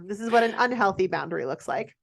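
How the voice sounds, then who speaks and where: A woman with a medium-pitched voice hosts a casual conversation. She is blunt and wry, whether she is putting questions or giving her own take. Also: animated, brisk, vocal fry. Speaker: podcast host asking questions. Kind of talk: casual chat.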